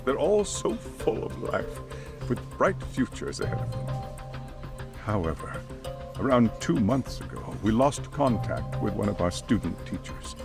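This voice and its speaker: Weary, creaky-voiced school chairman. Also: lowish voice, very animated, heavily acted. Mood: regretful, grave. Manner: hesitant, formal, measured. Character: grave, weary older male school chairman, courteous and hesitant. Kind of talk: video game cutscene acting. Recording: background music under the dialogue.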